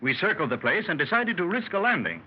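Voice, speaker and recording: A man's voice: high-pitched, very animated, fast talker. An actor playing a learned middle-aged explorer, telling his tale to fellow club members in a formal, old-fashioned way, in 1930s radio drama style. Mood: measured. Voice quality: formal, clubby storyteller. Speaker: explorer recounting his expedition. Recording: muffled hissy 1930s radio transcription.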